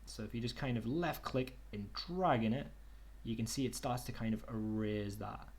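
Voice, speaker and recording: A young man casually talking through a screen-share tutorial on a video call, relaxed and chatty. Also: medium pitch, moderate pace. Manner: relaxed, chatty, says "okie dokie" and "kind of". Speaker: online workshop tutor.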